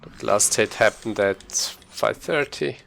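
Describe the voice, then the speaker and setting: A man with a fairly low voice and a heavy creak talks through a video game run. He explains his choices casually, in the informal style of a speedrunner commentating. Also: slow, fairly bright tone, a little hiss or hum.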